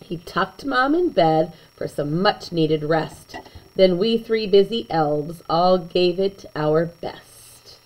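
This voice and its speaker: A woman reading a children's picture book aloud in an expressive way, with chatty asides to young listeners. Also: on the low side, low-quality recording. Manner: animated, slow and deliberate storytelling, chatting to young listeners.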